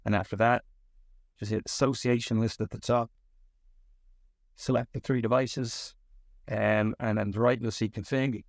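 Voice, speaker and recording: A man giving a step-by-step software tutorial as a tech support instructor. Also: medium pitch, fairly even intonation, moderate pace, long pauses, no room sound.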